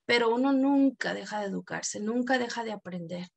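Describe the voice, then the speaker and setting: A woman's voice: on the high side, brisk, gravelly. A woman from El Salvador with a Mexican Spanish accent, telling her story earnestly as a podcast guest. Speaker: podcast guest, community leader. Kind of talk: personal testimony in an interview.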